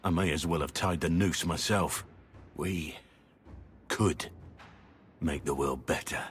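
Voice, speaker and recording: Casting: grave middle-aged warrior, guilt-ridden, earnest and deliberate, very low voice, game drama with music underneath. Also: male voice. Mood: bitter, remorseful. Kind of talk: dramatic video game acting.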